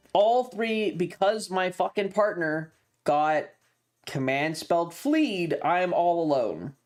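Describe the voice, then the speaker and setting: A streamer telling a tabletop game story to a live chat in a casual, sweary, emphatic way, repeating phrases for drama. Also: mid-range voice.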